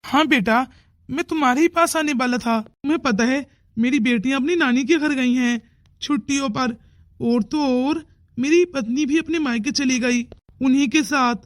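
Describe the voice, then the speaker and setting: A performer voicing a friendly middle-aged village uncle, quiet and coaxing, in a cartoon story. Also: high-pitched, normal speed, no room sound, studio quality, lightly acted. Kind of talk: Hindi cartoon moral-story dialogue. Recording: music behind the dialogue.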